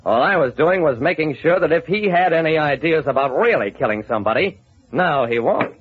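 An actor playing a shrewd, hard-boiled investigator in an old-time radio drama, wry, clipped and confident. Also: male voice, on the high side, animated, a little fast, slight background noise, lightly acted. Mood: probing, firm. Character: shrewd, hard-boiled male investigator telling his case. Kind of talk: old-time radio detective narration and acting. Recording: muffled vintage radio recording.

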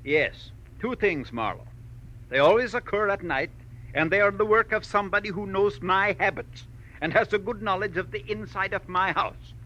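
An actor playing an exacting middle-aged millionaire mine owner in a 1940s radio drama, emphatic and deliberate in his phrasing. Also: high voice, pushed and bright. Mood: troubled, emphatic. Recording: dull, hissy old radio recording.

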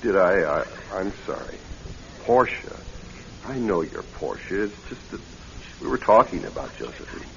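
An actor playing a brooding, weary man haunted by a lost love in a radio drama, speaking in a halting, distracted, wistful way. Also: big pitch swings, heavily acted. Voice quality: low, gravelly. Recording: dull lo-fi radio recording, faint noise.

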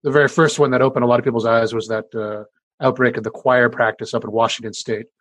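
A middle-aged man with a deep voice, an engineering professor casually explaining how speech releases particles, full of fillers and restarts. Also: steady tone, fast, dry recording. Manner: conversational, many fillers and restarts. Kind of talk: explanatory interview answers.

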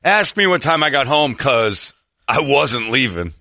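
A hoarse man hosting a morning radio show, rambling through a story with many restarts. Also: medium pitch, very animated, measured pace, pushed and bright, no echo, studio quality. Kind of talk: casual morning show chat. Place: radio studio. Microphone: studio mic.

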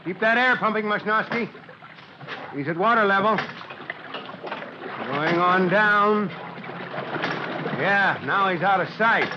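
An actor playing a middle-aged, fatherly fire chief with a folksy, steady authority in an old-time radio drama. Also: sits high, takes it slowly, long pauses. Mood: focused, commanding. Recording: dull, hissy vintage radio recording.

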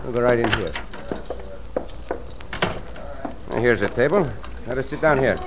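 An actor playing a courteous, confident Mexican cowboy adventurer in an old radio western, speaking formal English sprinkled with Spanish. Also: male voice, mid-range voice, fast talker, music in the background, lightly acted. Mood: gallant. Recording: hissy vintage radio recording.

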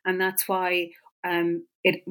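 A woman historian with an Irish accent answers questions in an interview. Her voice sits a little low, and she talks her way slowly toward each point, with many pauses and restarts. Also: completely flat delivery, fairly bright tone, smooth. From west of Ireland. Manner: hesitant, many fillers and restarts. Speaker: historian, author.

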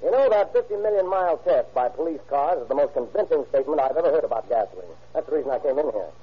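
An actor playing an eager, talkative motorist at a gas station in a scripted radio ad. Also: male voice, fairly high pitch, lively intonation, quick pace, slightly rough. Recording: muffled old radio.

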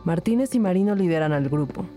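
A woman's voice: fairly low pitch, animated, fast, warm tone, faint music behind, natural voice. An actress playing an observant investigator, narrating her case in the first person in a wry, matter-of-fact way. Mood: wry narration.

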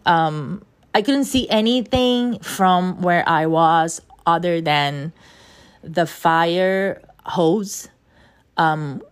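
A middle-aged woman from Brazil, a native Portuguese speaker with a Brazilian accent, hosting her podcast and telling a personal story in an earnest, halting way full of fillers. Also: fairly low pitch, clear, resonant tone, no room sound. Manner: earnest, halting with frequent fillers and soft laughs. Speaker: podcast host.

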